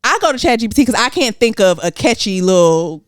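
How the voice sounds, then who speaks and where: Woman, Southern accent, casual podcast chat, animated, dry sound. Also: mid-range voice, a little fast, no echo. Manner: animated, colloquial storytelling with slang and cursing. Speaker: podcast co-host.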